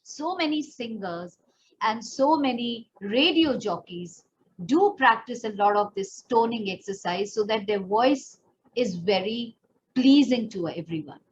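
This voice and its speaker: A woman's voice: fairly high pitch, expressive, unhurried. A woman with an Indian accent giving an instructional voice training lesson as a coach, explaining step by step and speaking straight to the learner. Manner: explanatory, demonstrative, addressing the learner directly. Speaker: pageant and personal development trainer. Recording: dull lo-fi audio, faint background noise.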